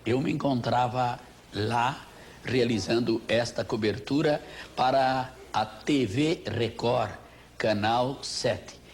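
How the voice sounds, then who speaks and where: An older man with a Brazilian accent, a veteran TV reporter, reminiscing in a formal, grandiloquent, measured style. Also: mid-range voice. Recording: faint noise, old TV broadcast tape.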